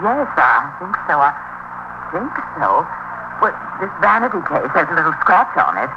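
Haughty, fretful wife, lively swooping delivery, heavy hiss of a vintage radio play. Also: female voice, low voice, moderate pace. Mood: fussy. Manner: complaining, haughty. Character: indignant, well-to-do married female tourist, sour and fretful. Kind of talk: 1950s radio drama acting. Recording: noisy muffled 1950s radio recording.

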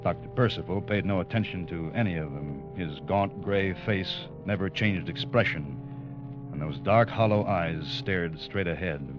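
A man's voice: sits low, highs cut off. An actor playing a dutiful, uneasy first officer who narrates his own story in an old radio drama. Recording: band-limited vintage radio broadcast.

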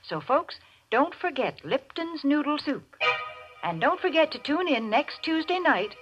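A woman announcer delivering a scripted 1940s radio sponsor pitch in a folksy, chatty way. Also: sing-song, a little slow, soft background music. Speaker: commercial announcer and co-host. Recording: dull old radio recording.

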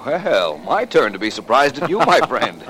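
An actor playing a suave, shrewd, confident private detective in a 1940s radio drama, urbane and wryly courteous. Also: male voice, mid-range voice, very animated, normal speed, a bit bright. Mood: wry, assured. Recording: noisy vintage radio recording.

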